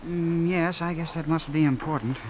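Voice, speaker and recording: A man's voice: fairly high pitch, fairly even intonation, normal speed, close to an everyday voice. An actor in an old-time radio drama playing an earnest, lovestruck young man, nervous and indignant, with a hesitant, stammering delivery. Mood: polite, curious. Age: young adult. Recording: noisy vintage radio broadcast recording.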